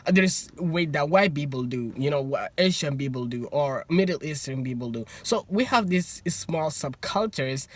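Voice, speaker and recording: Young adult male, Somali accent, animated storyteller, slightly high pitch. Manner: animated, acts out both sides of conversations, laughs often. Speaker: student. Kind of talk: casual storytelling.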